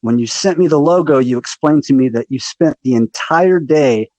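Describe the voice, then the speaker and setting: An enthusiastic man hosting a podcast, eager and full of agreement, in a mid-pitched voice. He tells stories in a friendly, rambling way. The recording is dry and close. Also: normal speed, warm tone, no echo, low-quality recording. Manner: enthusiastic, affirming interjections. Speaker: podcast host, musician. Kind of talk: podcast interview.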